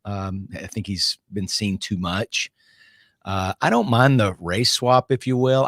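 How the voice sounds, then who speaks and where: A man giving casual film opinions on a panel, often halting as he searches for words. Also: lowish voice, a bit bright, no echo, clean studio sound.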